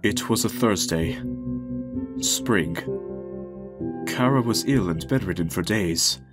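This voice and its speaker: A man playing a grief-stricken, gentle goat-monster king who can turn resolute, in a dramatic comic dub. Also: lowish voice, slow pace, loud music behind the voice. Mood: somber.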